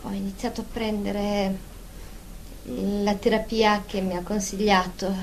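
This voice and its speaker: A woman's voice: medium pitch, little pitch movement, unhurried, a little hiss or hum, natural voice. A woman playing an uneasy, fearful therapy patient in a radio drama.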